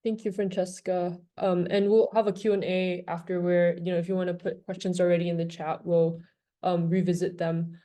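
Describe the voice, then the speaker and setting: Mellow, even-toned woman moderating casually. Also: lowish voice, moderate pace. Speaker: host and moderator. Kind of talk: casual moderating of an online gathering. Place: online video call.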